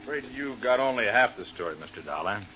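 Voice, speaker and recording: A male actor plays a plain-dealing Coast Guard captain in a vintage radio drama. His pitch moves freely, and the recording is dull and aged. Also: animated, fast talker, lightly acted. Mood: matter-of-fact. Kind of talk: 1950s radio drama acting.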